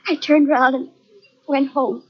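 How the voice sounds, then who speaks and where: A woman's voice: high-pitched, steady tone. An actress playing a tense, defensive widow who falters as she talks, in a 1940s radio drama. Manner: halting, defensive. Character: tense wealthy widow under suspicion. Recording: dull lo-fi old radio recording.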